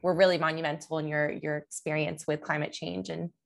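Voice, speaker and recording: A woman's voice: low voice, level tone, brisk, gravelly. Young woman interviewer asking long questions. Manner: long, loosely built questions that search for words. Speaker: podcast co-host and interviewer.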